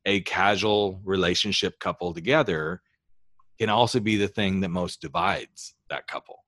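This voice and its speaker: A man hosting an interview who works as a therapist, speaking in a measured, reflective way. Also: low voice, fairly even intonation, fairly bright tone, no room sound. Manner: measured and reflective, builds long thoughts. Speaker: host, therapist. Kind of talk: conversational interview.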